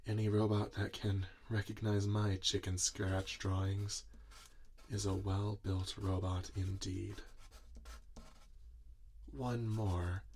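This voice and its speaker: A man acts out a doting, weary inventor father in an ASMR roleplay. He speaks softly and very slowly, with little rise or fall. The voice is low and tender, as he muses to the robot he built. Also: husky, exaggerated voice. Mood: patient, encouraging, then tired, proud. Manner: slow, tender, musing, talking to a robot listener. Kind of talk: soft-spoken ASMR roleplay.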